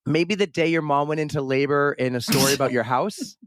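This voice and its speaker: Male podcast host, slightly high voice, dry close sound, jokey and teasing. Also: a little fast, dry recording. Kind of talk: casual chat.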